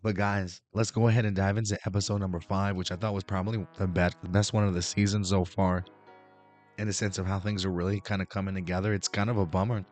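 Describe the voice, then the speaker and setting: Hoarse deep-voiced man reviewing a show. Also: flat delivery, fast talker, a little mellow, faint music behind. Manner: rambling, with fillers and restarts. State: hoarse, recovering from losing his voice. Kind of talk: casual review talk to camera.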